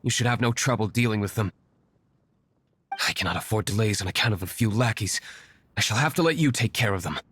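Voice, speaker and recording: A man's voice: lowish voice, lively intonation, a little fast, pauses often, a bit bright, husky, dry recording. An actor voicing a brooding, aloof young nobleman vigilante in a game, formal, curt and dryly serious. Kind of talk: game character dialogue.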